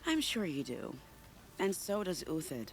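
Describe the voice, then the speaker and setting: A woman playing a sly, secretive, charming spy in a video game, teasing in a silky, unhurried way. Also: fairly low pitch, wildly swooping pitch, faint music behind. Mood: sly, confiding. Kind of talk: video game cutscene acting.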